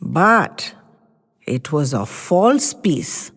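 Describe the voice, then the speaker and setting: A woman's voice: sits low, big pitch swings, drawn-out words, a bit bright, slightly rough, heavily acted. A woman telling it as a bossy grandchild storyteller. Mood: storytelling. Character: boy grandchild, confident master storyteller. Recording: long hall reverb.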